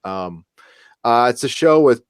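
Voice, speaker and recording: A man's voice: medium pitch, lively intonation, moderate pace, smooth, no echo, clean studio sound. A man hosting a live podcast in casual chat, rambling and joking, with some swearing. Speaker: podcast host.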